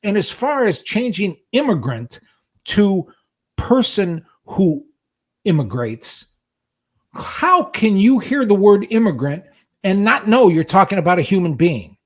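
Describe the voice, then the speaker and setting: Lively, indignant man in his sixties. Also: high-pitched. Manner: indignant, exasperated ranting. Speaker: podcast co-host. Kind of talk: casual podcast chat.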